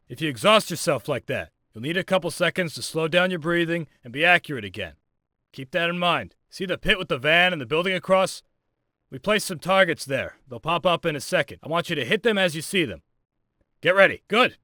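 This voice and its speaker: A brusque military training instructor from a video game. His voice is fairly high for a man, with a forceful, sharp edge, and he is blunt and commanding in a very dry, close recording. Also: dry recording. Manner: commanding, blunt, clipped orders. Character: brusque, foul-mouthed male military firearms drill instructor. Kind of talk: scripted game voice lines, instructional and barked commands.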